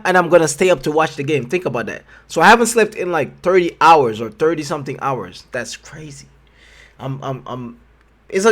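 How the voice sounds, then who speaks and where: A sleep-deprived man with a Jamaican accent, chatting casually as he reacts to a video, often restarting his sentences. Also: a little high. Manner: relaxed, chatty, trailing self-corrections. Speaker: YouTube reactor.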